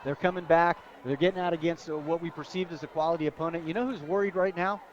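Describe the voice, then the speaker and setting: Fairly high-voiced American football color commentator, male. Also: fairly even intonation, quick pace. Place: stadium press booth, radio broadcast. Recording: muffled broadcast audio.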